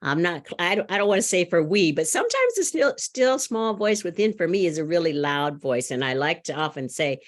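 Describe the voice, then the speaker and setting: A middle-aged woman giving a sermon, loud and animated, laughing often and telling a story. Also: fairly low pitch, brisk, few pauses. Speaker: speaker at a church service.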